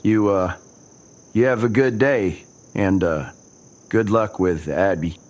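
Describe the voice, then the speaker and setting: A cautious townsman from a western radio play. His voice sits very low, and he talks in a folksy, hesitant manner, as if minding who might overhear. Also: takes it slowly, a little mellow. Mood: wary. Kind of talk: old-time western radio drama acting.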